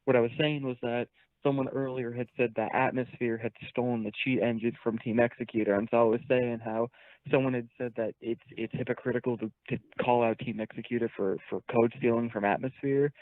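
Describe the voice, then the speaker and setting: A man's voice: mid-range voice, steady tone, fairly quick, dry recording, phone-quality sound. A man hosting a casual livestream chat while sick, talking bluntly, rambling and swearing. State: sick, tired voice. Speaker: streamer host.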